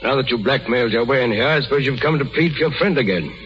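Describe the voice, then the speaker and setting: An actor playing a stern, suspicious emperor, curt and sardonic, in 1930s radio style. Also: male voice, mid-range voice, lively intonation, fast talker, lightly acted. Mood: sardonic, cold. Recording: muffled 1930s radio broadcast with music.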